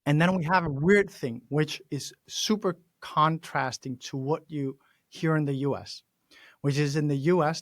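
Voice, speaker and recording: A man from Denmark with a Danish accent, hosting an interview in an animated, chatty way with frequent laughs. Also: on the high side, no room sound, clean studio sound. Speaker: podcast host. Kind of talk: casual interview conversation.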